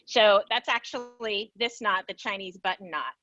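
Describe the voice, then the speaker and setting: A woman's voice: mid-range voice, normal speed, bright, forceful tone, lo-fi sound. A woman artist on a video call, explaining her knot craft with enthusiasm and frequent laughs. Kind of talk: casual explanatory talk.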